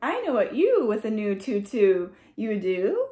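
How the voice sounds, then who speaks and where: A young woman reading aloud to small children in a slow, animated, sing-song way, like a dance teacher addressing her class. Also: medium pitch, echoey room. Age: young adult. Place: small furnished room indoors. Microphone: camera a short distance away.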